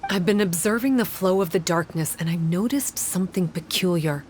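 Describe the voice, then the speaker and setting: A woman playing a composed, analytical guide in a fantasy game, speaking in a measured, formal, explanatory way. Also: on the low side, darkish tone, a little hiss or hum, natural voice. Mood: composed, serious. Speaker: game character giving quest briefing. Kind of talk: scripted game dialogue.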